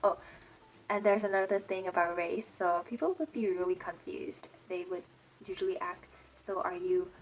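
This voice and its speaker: A woman's voice: lowish voice, normal speed, slight background noise, poor audio. A woman in her twenties from Malaysia, a native Mandarin speaker with an American-leaning English accent, chatting casually in a personal vlog with many "um" fillers. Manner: chatty, frequent "um" fillers and little laughs. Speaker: vlogger.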